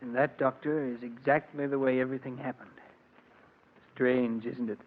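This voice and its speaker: Desperate high-voiced husband, old radio. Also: male voice, animated, moderate pace, over music. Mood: subdued, resigned. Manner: impassioned, pleading. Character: desperate husband accused of drowning his wife. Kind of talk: 1940s radio acting. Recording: noisy, muffled old radio recording.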